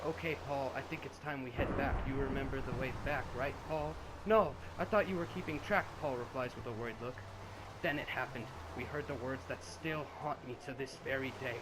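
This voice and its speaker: A young man telling a mock-dramatic comedy story among friends, jokey and swearing, shifting between solemn narration and yelling. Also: mid-range voice. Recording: noisy background.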